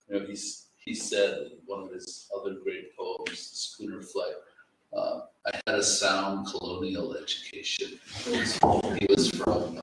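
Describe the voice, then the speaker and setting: A man discussing literature informally as an editor on a panel, halting and reflective, often repeating his words. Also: low voice, a bit bright. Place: indoor event room with audience. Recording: echoey room, distant mic, faint noise.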